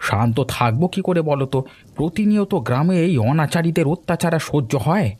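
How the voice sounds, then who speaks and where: A man's voice: a little high, animated, a little fast, a little mellow. A man voicing a righteous, hot-headed older village man who scolds indignantly in a cartoon. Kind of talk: cartoon dialogue acting.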